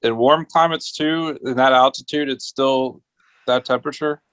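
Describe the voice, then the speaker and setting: A man's voice: medium pitch, steady tone, moderate pace, fairly bright tone, smooth, dry recording. A man taking part in a casual talk show chat, asking questions.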